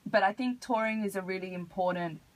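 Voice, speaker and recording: An Australian woman with a husky voice, talking casually as an artist being interviewed on radio. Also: mid-range voice, a little fast, low-quality recording. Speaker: interview guest, hip hop artist. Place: radio studio.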